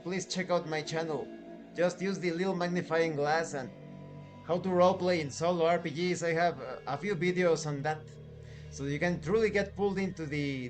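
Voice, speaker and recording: Higher-pitched man presenting a game review. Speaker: tabletop game reviewer and channel host. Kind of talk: scripted-sounding review presentation.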